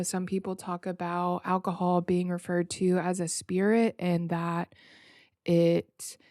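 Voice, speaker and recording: Slow-talking woman with a creaky voice. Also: lowish voice, warm tone, dry recording, clean studio sound. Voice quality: slightly nasal. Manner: informal, reflective, halting and rambling with repeated words. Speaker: podcast host. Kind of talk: casual freestyle solo podcast monologue.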